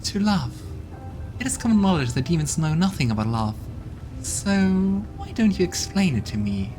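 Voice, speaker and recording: A man's voice: fairly high pitch, big pitch swings, normal speed, darkish tone, voice pushed up, poor audio. A man voicing a curious, playful female demon in a game playthrough, with music in the background.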